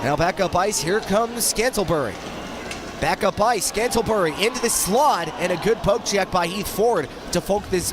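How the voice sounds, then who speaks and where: A man calling hockey play-by-play, sounding nasal from a head cold, with a rapid running commentary that rises on shots. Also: sits high, background noise. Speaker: play-by-play announcer.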